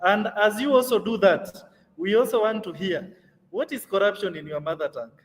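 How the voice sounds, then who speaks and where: A man's voice: high voice, a little fast, in a large hall, far from the mic, poor audio. A man moderating an online youth training session, talking conversationally with plenty of fillers. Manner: conversational, many fillers, laughs easily.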